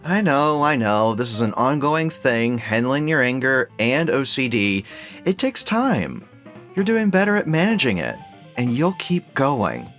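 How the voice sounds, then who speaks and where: Low, slow, patient placating man. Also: heavily acted. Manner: conciliatory, measured, gently wry. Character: patient, placating male partner, a psychology student named Todd. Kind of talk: audio dramedy acting.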